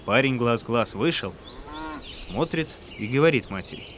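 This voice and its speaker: A man's voice: mid-range voice, expressive, moderate pace, fairly bright tone, slight background noise. A man narrating a folk tale as a storyteller, with music behind his voice.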